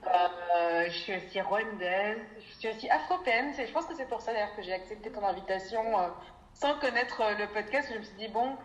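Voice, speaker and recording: A woman's voice: medium pitch, expressive, a little fast, reverberant, dull audio. A woman in her twenties from Belgium, a podcast guest speaking thoughtfully with frequent hesitations. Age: 20s. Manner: thoughtful, many hesitation fillers. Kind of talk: reflective interview conversation.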